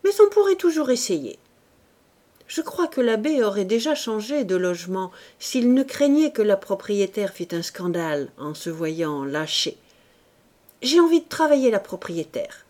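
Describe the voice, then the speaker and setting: A woman's voice: medium pitch, big pitch swings, unhurried, darkish tone. A woman doing a sly, scheming man. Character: coarse, scheming middle-aged man, drinking.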